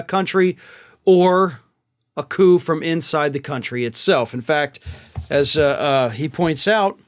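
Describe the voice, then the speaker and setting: High-pitched man giving emphatic political commentary. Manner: emphatic, sarcastic. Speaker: political commentator, show host. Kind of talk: opinionated news commentary to camera.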